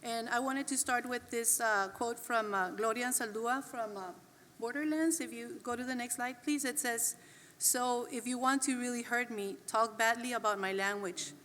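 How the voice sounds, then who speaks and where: A woman's voice: a little high, steady tone, moderate pace, a bit bright. A woman panelist speaking in a hesitant, careful way. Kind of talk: panel talk. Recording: long hall reverb with faint noise.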